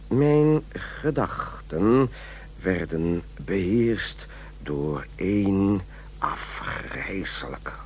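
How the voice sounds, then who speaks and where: A man's voice: low voice, a little hiss or hum, heavily acted. An actor playing a fussy, self-important man who dictates his journal and mutters corrections, in a radio play. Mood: solemn, self-pleased.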